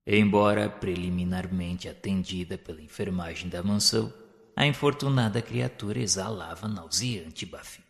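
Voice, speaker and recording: Deep voiced guy reading a spiritual story slow and solemn, reverb-y sound. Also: creaky. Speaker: narrator. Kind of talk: scripted literary narration.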